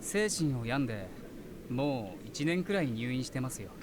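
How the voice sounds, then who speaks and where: A man's voice: mid-range voice, sing-song, fast, close to an everyday voice. An actor playing a light, casual, teasing young male student in a visual novel game. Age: young adult. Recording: game audio with clear noise and ambience.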